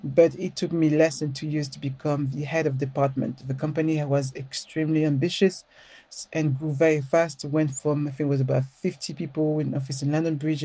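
A middle-aged woman with a French accent speaks as a guest on a podcast, animated and quick to laugh. Her voice has a mellow, dark tone. It sounds dry and close to the mic. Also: low-pitched, husky, no room sound, low-quality recording. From France. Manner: animated, laughs often, frequent restarts. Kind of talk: casual storytelling interview.

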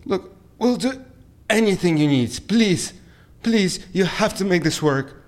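An actor playing a scheming, anxious government agent with a businesslike, pressing manner in an audio drama. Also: male voice, high-pitched, lively intonation, measured pace. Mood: anxious pleading. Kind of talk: audio fiction acting.

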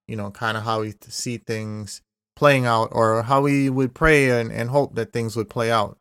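A man hosting a podcast, recapping the last episode in a steady, explanatory way. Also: medium pitch, moderate pace, smooth, no room sound, studio quality. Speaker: podcast host.

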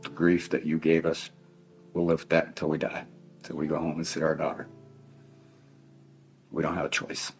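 A middle-aged man with a very deep voice speaks solemnly and haltingly, heavy with grief. His tone is gravelly and he repeats himself as he addresses someone directly in a formal statement. Faint guitar music sits underneath. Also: little pitch movement. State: emotional, grieving. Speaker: victim's father giving impact statement. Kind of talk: spoken victim impact statement in court. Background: faint plucked guitar music.

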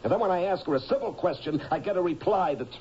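An American actor playing a bitter, hot-tempered out-of-work coal miner in a 1970s radio drama, emphatic and agitated, repeating phrases for weight. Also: male voice, high voice, animated, quick pace, warm tone, breathy edge, exaggerated voice. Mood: indignant, bitter. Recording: dull, faintly noisy 1970s radio broadcast.

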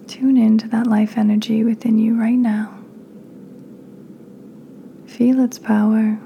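A woman hosting a guided meditation in a soft, breathy, near-whispered voice, calm and soothing with unhurried pauses. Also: on the high side, muffled recording, a little hiss or hum. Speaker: podcast host, meditation guide.

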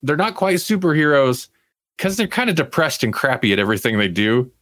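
A man talks casually as a podcast co-host reviewing television. He rambles through opinions, often qualifying them with asides. His voice sits at a middling pitch and is recorded very dry, with little room sound. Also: expressive, a little fast, a bit bright, no echo. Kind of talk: casual chat.

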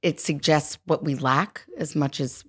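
A woman with a notably low voice speaks as a guest on a podcast. She is a psychotherapist and author who explains her ideas in a thoughtful, articulate way. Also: steady tone, measured pace, slightly rough. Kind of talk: casual interview.

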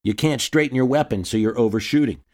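A man's voice: mid-range voice, fast, darkish tone. A man narrating history in a storytelling way, dropping in wry asides.

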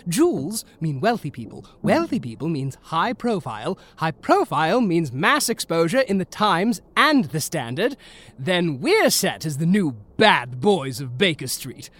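Pompous, high-voiced Victorian gentleman detective. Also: big pitch swings, measured pace, full character voice. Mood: scheming, persuasive. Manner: grandiose, theatrical, self-important. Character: pompous, excitable Victorian man detective, eager for fame. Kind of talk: Victorian comedy audio drama acting.

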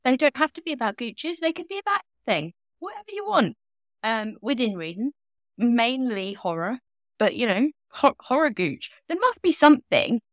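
A woman's voice: a little high, very animated, normal speed, dry recording, studio quality. A woman co-hosting a podcast in casual chat, joking and rambling off on tangents. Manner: bubbly, rambling, jokey tangents. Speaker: podcast co-host.

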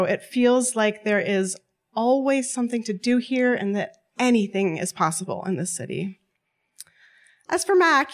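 A woman in her twenties telling a personal story to a live audience on stage, wry and often laughing as she talks. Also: mid-range voice. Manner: lively, wry, often laughing through lines.

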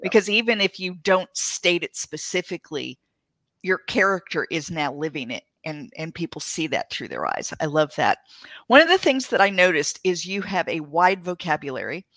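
A woman's voice: lowish voice, sing-song, normal speed, a bit bright, no echo. A woman hosting an interview, chatting eagerly with a fellow author and agreeing often. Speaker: podcast host and fellow author. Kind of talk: casual interview chat.

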